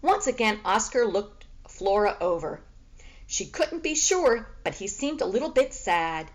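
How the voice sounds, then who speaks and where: A woman reading a children's book aloud as expressive storybook narration. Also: mid-range voice, unhurried.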